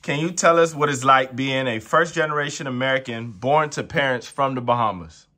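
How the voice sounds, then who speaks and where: A young man hosts a casual interview with a bright, forward voice. He keeps it easygoing and slangy, tossing in "man" at the end of his questions. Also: medium pitch, a little fast. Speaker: interview host.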